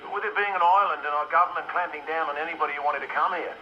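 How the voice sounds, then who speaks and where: An actor playing an Australian male researcher talking gravely over shortwave radio in a radio drama. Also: a little high, very fast, dull audio. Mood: grave, informative. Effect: shortwave radio filter. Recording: band-limited, noisy shortwave radio sound.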